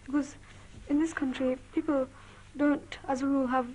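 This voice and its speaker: A woman's voice: high voice, fairly even intonation, moderate pace, mellow, slight background noise, rough recording. A teenage female student with a British accent giving her opinions in an informal interview. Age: teens. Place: small indoor classroom.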